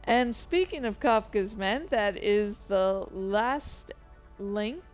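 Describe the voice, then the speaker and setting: A woman streamer chatting casually, rambling and interrupting herself with playful excitement. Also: mid-range voice, takes it slowly, soft background music. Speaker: streamer and author. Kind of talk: casual livestream chat.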